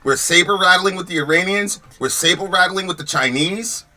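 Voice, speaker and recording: Man, American, podcast host, heated chat commentary, tense bright tone, slightly high voice, rhetorical questions, repeats words. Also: fairly quick. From United States. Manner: emphatic, argumentative, fires rhetorical questions; repeats words and restarts when worked up.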